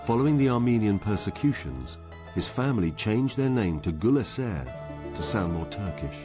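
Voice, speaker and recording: A man narrating a documentary in a measured, even scripted delivery. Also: lowish voice, music in the background.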